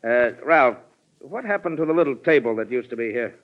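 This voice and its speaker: Man as hearty older statesman, jovial, mid-pitched, muffled old radio drama recording. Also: animated, lightly acted. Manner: jovial, chatty, brisk greetings. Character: hearty, genial older male former president, a colonel. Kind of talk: 1940s radio acting. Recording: dull vintage radio recording.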